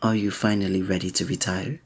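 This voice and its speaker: Man playing a soft, breathy, gallant fantasy innkeeper. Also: deep voice, little pitch movement, a little fast. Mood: polite, attentive. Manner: formal, old-fashioned, gallant, gentle phrasing. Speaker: ASMR roleplay performer. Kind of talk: scripted romantic ASMR roleplay.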